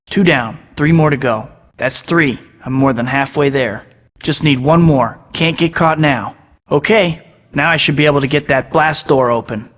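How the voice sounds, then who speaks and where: An actor voicing a young masked superhero in a video game, talking himself through each task with wry jokes. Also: male voice, on the high side, fairly bright tone, in a large hall, close to an everyday voice. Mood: determined. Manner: wry, joking asides to himself. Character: young male masked web-slinging superhero, wisecracking. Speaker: video game hero voice clips. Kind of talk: game voice-over lines.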